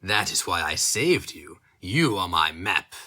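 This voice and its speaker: A man giving a grave, dramatic audiobook reading of a dark fantasy story. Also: sits low, sing-song, a little slow. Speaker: narrator.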